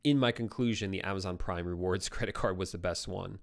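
A young man hosting a casual live stream, reading viewer questions aloud and answering them, his voice tired and going hoarse, on a close studio microphone. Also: low voice, fairly quick. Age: young adult. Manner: relaxed, conversational, reads viewer comments aloud. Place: home studio with brick wall. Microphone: boom-arm studio microphone close up.

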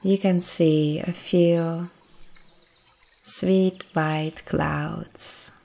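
A woman guides a meditation in a low voice. Her delivery is very slow and soothing, with long pauses between phrases. The intonation stays gentle and flat, never hurried. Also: vocal fry.

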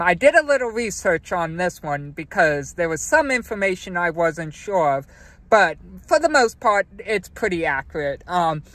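A man hosts his own channel with a casual, unhurried explainer, stopping often for ums and restarting his sentences. His voice sits high and bright. The recording is dry and close. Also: no echo. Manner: hesitant, many ums and restarts. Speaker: car wash channel host, car wash worker.

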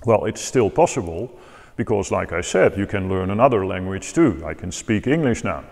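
A man in his forties from the Netherlands with a Dutch accent, calmly explaining how to learn organ improvisation, seated in a large church and filmed from a distance. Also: deep voice, gravelly. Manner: measured, thoughtful, gestures with hands while explaining. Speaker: organ teacher. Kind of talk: calm explanatory talk to camera. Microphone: far camera, seated at a table. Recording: long church reverb.

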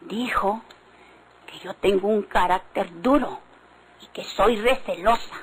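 An actress playing a hard, suspicious Mexican country wife in a vintage radio drama, speaking emphatically and accusingly. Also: female voice, medium pitch, slow pace, husky, poor audio. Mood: indignant, suspicious.

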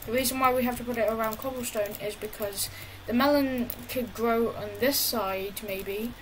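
A woman casually talking through a building tutorial over gameplay, halting often with fillers and restarts. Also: on the high side, a crowd in the background, low-quality recording. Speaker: gaming tutorial creator.

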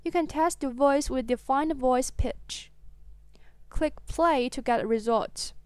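A woman reading a scripted voice-over as the narrator of a software tutorial. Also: high voice, takes it slowly, clear, resonant tone, no echo.

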